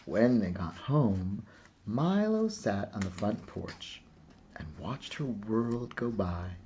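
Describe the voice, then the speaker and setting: A man narrating a children's picture book with measured, deliberate storytelling. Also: lowish voice, animated, mellow, highs cut off, a little hiss or hum. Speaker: storybook reader.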